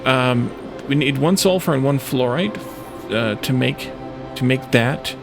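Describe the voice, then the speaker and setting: A man's voice: medium pitch, measured pace, over music. A man giving casual let's play commentary, thinking aloud in a hesitant, self-questioning way.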